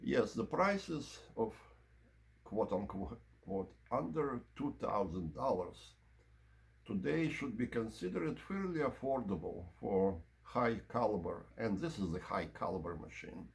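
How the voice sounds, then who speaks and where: A man presenting an informal product review and demonstration, explaining in measured, short broken phrases. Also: fairly low pitch, animated, gravelly. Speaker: presenter of audio equipment review.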